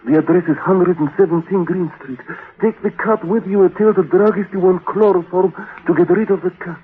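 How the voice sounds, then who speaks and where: An actor playing a sinister, bitter musician, insinuating and intense in 1940s radio drama style. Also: male voice, high-pitched, a little fast, natural voice. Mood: menacing coaxing. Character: sinister, bitter cafe musician, coaxing and hypnotic. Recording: tinny band-limited old radio recording.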